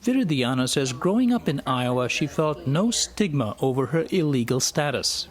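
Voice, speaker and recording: A man narrating a news report in a measured, scripted delivery. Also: medium pitch, lively intonation. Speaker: news correspondent.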